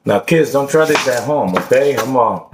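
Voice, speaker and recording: A man's voice: mid-range voice, lively intonation, moderate pace. Boastful joking man in a noisy kitchen. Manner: boastful, joking, streetwise slang. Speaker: home cooking vlogger. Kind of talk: casual cooking vlog with banter. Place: home kitchen, indoors. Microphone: camera at counter level. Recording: noisy kitchen with chopping clatter.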